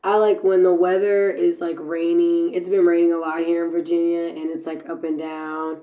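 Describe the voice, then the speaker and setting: A woman with a heavy, raspy, congested voice, lowish and dark in tone. She chats casually as the host of a live podcast, filling gaps with "like" and "um" and clearing her throat often. She is heard over a phone stream. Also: flat delivery, moderate pace. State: congested from allergies. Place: at home in Virginia.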